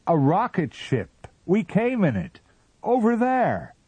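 A frantic rocket captain in a storybook reading, yelling high and loud as he strains to be heard, as a man acts him out. The voice leaps far up in pitch on short shouted bursts and swings wildly. The recording is dry and close. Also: huge pitch swings, measured pace, slightly rough, no echo, heavily acted. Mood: frantic shouting.

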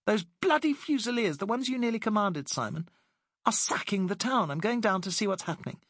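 A spirited young British woman, sharp and indignant, in a high, lifted voice, as a male narrator puts her on. She sounds close and dry, in a storybook reading. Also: animated, brisk, no echo, exaggerated voice. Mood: excited.

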